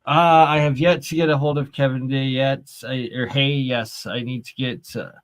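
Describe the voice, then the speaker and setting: A male host chatting on a video call, speaking haltingly with many fillers. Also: mid-range voice, flat delivery, dry recording. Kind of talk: casual chat.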